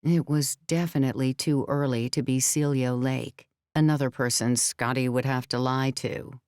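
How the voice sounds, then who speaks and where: A woman's voice: a bass voice, fairly even intonation, normal speed, darkish tone, clear, resonant tone, no echo, studio quality. A woman narrating scripted fiction as a storyteller in a full-cast audiobook. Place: dry recording studio.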